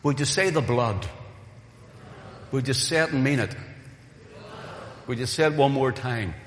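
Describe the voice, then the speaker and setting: A man's voice: medium pitch, measured pace. A pastor preaching a Sunday sermon, earnest and rising to emphatic calls to the congregation, his voice a little weak from recent voice loss. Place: church hall. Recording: roomy echo of a reverberant hall.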